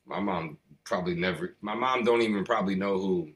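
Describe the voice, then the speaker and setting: Brief: middle-aged American man, hip hop artist, very low voice, slangy and loose interview talk. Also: brisk. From US East Coast. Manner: slangy, rambling, peppered with "you know" and "man". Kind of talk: casual conversation.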